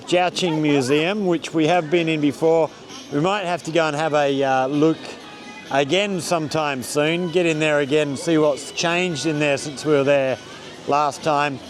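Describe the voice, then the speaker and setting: An Australian man casually narrating a walk-around vlog at an outdoor festival, with easygoing tour-guide commentary. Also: fairly high pitch. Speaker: vlogger. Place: outdoors at a busy public festival. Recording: loud crowd noise outdoors. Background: crowd chatter.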